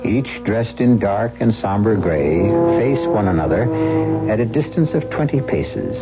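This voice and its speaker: A man hosting and narrating a radio drama in an atmospheric, ominous style with deliberate, dramatic phrasing. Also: fairly low pitch. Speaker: host and narrator. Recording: muffled old radio with prominent music.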